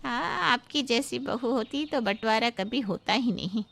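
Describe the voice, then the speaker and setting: A woman's voice: on the high side, expressive, unhurried, creaky, a bit bright, exaggerated voice. A woman voicing a chatty, weary middle-aged neighbour in a cartoon moral story, gossipy and given to complaint. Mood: admiring.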